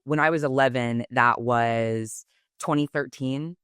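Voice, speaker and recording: A young woman from Texas with a fairly low, smooth, resonant voice, recorded close and dry. She talks things through reflectively and articulately, leaning on "um" and "like" as she thinks. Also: a little slow, a bit bright, no room sound. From Texas, United States. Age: 20s. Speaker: guest. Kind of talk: podcast interview.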